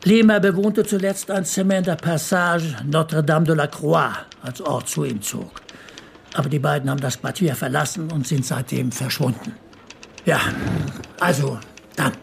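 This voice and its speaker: A man's voice: on the high side, moderate pace, gravelly. An actor playing a middle-aged, well-off, controlling father in a radio crime drama, formal and disapproving in manner. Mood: formal, insistent. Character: well-off, controlling bourgeois father hiring a detective.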